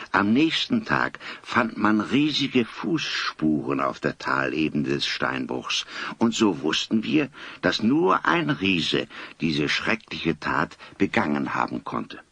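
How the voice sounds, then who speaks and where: Gravelly old grandfather, swooping delivery. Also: fairly low pitch, a bit bright, no room sound, full character voice. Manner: dramatic, old-fashioned wordy delivery. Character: solemn old grandfather, vindicated eyewitness storyteller. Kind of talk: German audio drama acting.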